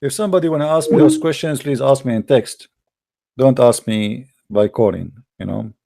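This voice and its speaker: A man with a mellow, darker voice hosts a live debate stream. He lectures emphatically and argues his points. He keeps a middle pitch and a steady delivery. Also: moderate pace, vocal fry. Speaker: livestream debate host. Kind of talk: lecturing religious debate talk.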